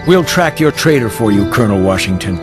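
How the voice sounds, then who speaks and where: A man plays a resolute male frontier hero in a game cutscene. His voice is on the low side and his words are terse and grim, with music clearly underneath. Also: expressive, a little fast, natural voice. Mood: grim resolve. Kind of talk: video game cutscene acting. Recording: music behind the voice.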